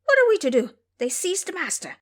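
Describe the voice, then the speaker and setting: A bold monkey warrior from an audio drama, acted out by a woman. He is combative and commanding, speaking in exclamations with an animated, leaping pitch. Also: brisk. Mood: alarmed. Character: Sun Xingzhe, bold combative male monkey disciple.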